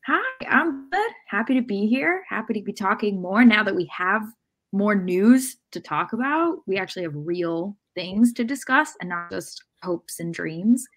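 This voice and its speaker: A woman's voice: mid-range voice, lively intonation, a little slow. A woman in her thirties co-hosting a casual sports discussion over a video call, with a dry sense of humor. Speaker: podcast co-host and sports analyst. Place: room at home, on a video call.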